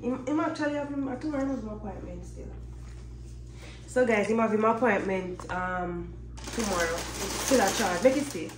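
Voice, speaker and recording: A woman's voice: medium pitch, normal speed, recorded from a distance. A young woman with a Jamaican accent chats casually as a family vlogger, in informal Patois-inflected phrasing. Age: young adult. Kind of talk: casual vlog chat. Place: indoors in supermarket and home kitchen. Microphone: phone camera, handheld or a step away. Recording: roomy, noisy handheld phone audio.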